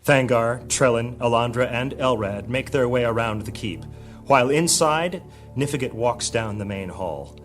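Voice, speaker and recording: A man's voice: mid-range voice, moderate pace, faint music behind, natural voice. A man narrating an epic fantasy audio drama as a solemn, dramatic storyteller reading from a script. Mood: suspenseful.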